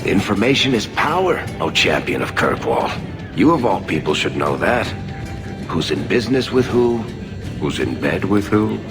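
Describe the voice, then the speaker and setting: An actor playing a wry, roguish tavern gossip in a video game, conversational and teasing. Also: male voice, deep voice, very animated, moderate pace. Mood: knowing, confiding. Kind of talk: video game dialogue acting. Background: game music.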